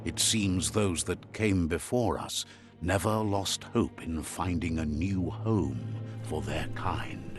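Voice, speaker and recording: A man playing a wry, theatrical storyteller who narrates a post-apocalyptic fable in a video game with deliberate, whimsical delivery. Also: low-pitched, over music. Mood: solemn storytelling. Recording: clear background noise under the voice. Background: game ambience and noise.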